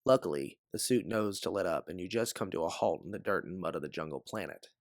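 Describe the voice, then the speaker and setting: A man narrating a science-fiction adventure story as an audiobook reader, with theatrical emphasis and a measured, dramatic delivery. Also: low voice, expressive, dry recording, clean studio sound. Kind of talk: dramatic second-person sci-fi story narration.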